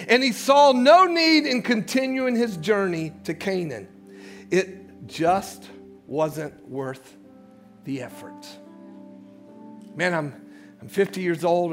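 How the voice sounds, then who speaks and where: A male pastor preaching a sermon, swinging between loud shouts and hushed low passages and repeating words as he builds.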